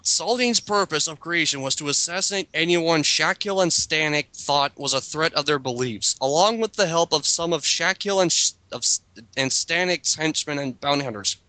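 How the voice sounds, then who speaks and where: A man's voice: on the high side, moderate pace, pushed and bright, no echo. A man reading aloud and riffing casually with others, exasperated and tripping over his words. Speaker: group reader mocking a written character bio.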